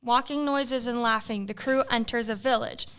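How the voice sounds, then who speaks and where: A woman's voice: fairly high pitch, steady tone, moderate pace, fairly bright tone, clean tone. A woman narrating an amateur audio drama, reading stage directions in a matter-of-fact way.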